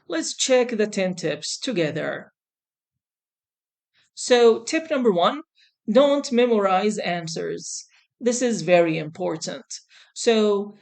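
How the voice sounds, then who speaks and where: A woman teaching an English exam lesson in a deliberate, reassuring manner, repeating her key points as she goes. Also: mid-range voice. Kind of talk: instructional lesson to camera.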